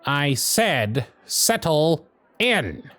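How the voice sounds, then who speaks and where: Casting: male actor as gruff, fond elf boss for ASMR roleplay, sardonic, very lively intonation, dry close sound, music behind. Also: a little high, very slow, no room sound, heavily acted. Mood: stern, sardonic. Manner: bossy, teasing, sardonic.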